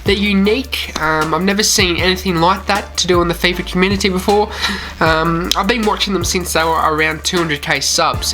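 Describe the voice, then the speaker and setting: A man's voice: a little high, bright, forceful tone. A man from Australia with a mild Australian accent, hosting a gaming channel and chatting loosely over gameplay with lots of fillers. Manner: chatty and rambling, with many "you know" fillers. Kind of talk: casual commentary over gameplay.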